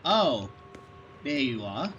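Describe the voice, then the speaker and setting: A man reading game dialogue aloud during a playthrough, halting and stumbling over words as he goes. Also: mid-range voice, sing-song, a bit bright, lo-fi sound, a little hiss or hum. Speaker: gaming streamer.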